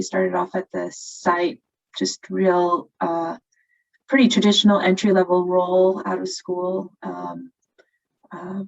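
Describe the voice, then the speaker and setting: A woman's voice: medium pitch, creaky. A woman speaking on a webinar panel about plant breeding careers, thoughtful and full of fillers. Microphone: video call. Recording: lo-fi video call audio.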